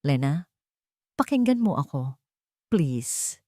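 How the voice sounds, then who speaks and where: A woman's voice: low voice, sing-song, slow, a little mellow, dry recording, clean studio sound, full character voice. A woman voicing a desperate, guilt-ridden man who pleads earnestly with his beloved in an audio love story. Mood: desperate pleading.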